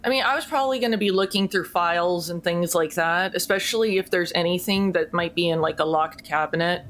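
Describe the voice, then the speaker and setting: A woman's voice: on the low side, brisk, a bit bright. A woman playing a tabletop roleplaying game, talking casually with a wry, informal manner.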